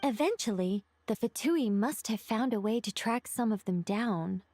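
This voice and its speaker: Game role: poised, enigmatic woman, higher register, deliberate and courteous, close dry studio sound. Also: expressive, mellow, no echo. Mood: explanatory. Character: calm, knowing, mysterious woman who reveals secrets. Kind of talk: video game voice acting, measured explanation.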